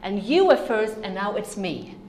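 A woman's voice: mid-range voice, very animated, normal speed, a little mellow, reverberant, slight background noise. A woman from Norway, a native Norwegian speaker, giving an earnest, emphatic conference talk on ministry to children.

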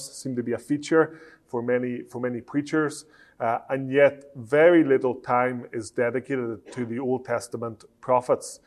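Thirties Northern Irish man, Italian first language, Bible college lecturer; measured teaching delivery, slows for emphasis. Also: mid-range voice, a little mellow. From Northern Ireland. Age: 30s. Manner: measured, slowing down for emphasis, frequent "uh" fillers. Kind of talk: lecture to preachers.

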